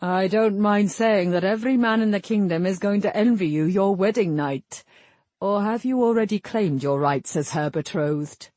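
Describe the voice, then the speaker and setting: A woman's voice: mid-range voice, normal speed, a little mellow, no echo, close to an everyday voice. A woman voicing a hearty, loyal male warrior lord who talks frankly in archaic phrases in a fantasy audiobook. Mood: teasing.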